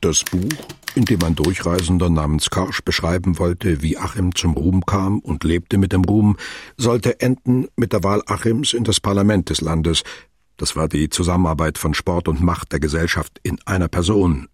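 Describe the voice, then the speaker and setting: An actor playing a sober, detached narrator, reading scripted literary prose in a radio play. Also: male voice, fairly even intonation, normal speed, no room sound, studio quality. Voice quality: very husky, low.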